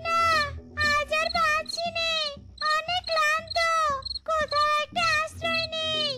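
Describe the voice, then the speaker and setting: A tiny, anxious cartoon creature in royal service. The voice is piercingly high and drawn out very slowly, with loud music behind it. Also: female voice, completely flat delivery, roomy sound, far from the mic. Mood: weary, searching. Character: small childlike royal servant creature, anxious, weary searcher. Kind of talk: Bengali animated fairy-tale cartoon dialogue.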